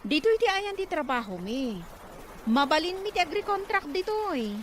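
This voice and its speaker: A woman's voice: very high voice, sing-song, moderate pace. A woman with a Filipino accent playing an adult daughter working abroad in a conversational audio drama. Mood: explaining, earnest. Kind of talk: conversational Ilocano radio drama acting. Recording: faint background noise.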